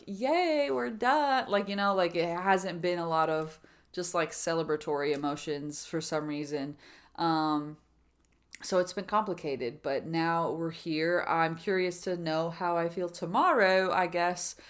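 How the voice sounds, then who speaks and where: A woman in her thirties with a voice pitched a little low speaks in a loose, rambling vlog style. She fills her talk with "like" and restarts, and now and then slips into quoted asides that voice her own inner thoughts. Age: 30s. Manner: rambling, filler-heavy with many "like"s and restarts. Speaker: vlogger. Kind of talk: reflective personal vlog.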